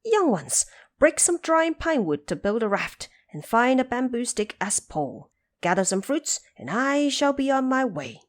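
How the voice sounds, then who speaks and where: A woman's voice: on the high side, sing-song, measured pace, pitched above the performer's own voice. A woman voicing a proud male monkey ruler in a storybook audio drama, earnest and regal in delivery. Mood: commanding.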